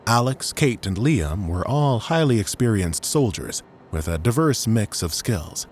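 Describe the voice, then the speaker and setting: A man's voice: on the low side, very animated, measured pace, slight background noise. A man reading scripted dramatic fiction as an audiobook narrator.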